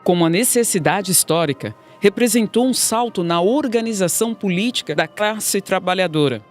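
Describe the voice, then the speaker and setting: A woman's voice: medium pitch, lively intonation, moderate pace, faint music behind. A woman with a Brazilian accent reading scripted narration for a radio documentary.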